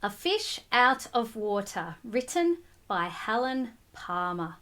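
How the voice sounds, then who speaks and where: Woman, children's storybook reader. High-pitched, very animated, explains things simply and directly to young listeners. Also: slow pace. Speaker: teacher reading a picture book aloud to children. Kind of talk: animated storybook read-aloud with asides to children.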